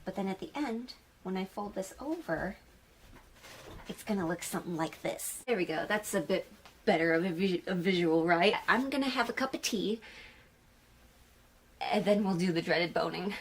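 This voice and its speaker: A woman's voice: mid-range voice, normal speed, slightly rough. A woman talking casually and informally as she walks through a craft tutorial, like a vlogger. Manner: chatty, informal, mild swearing. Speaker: sewing vlogger. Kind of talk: casual craft tutorial chat with voice-over narration.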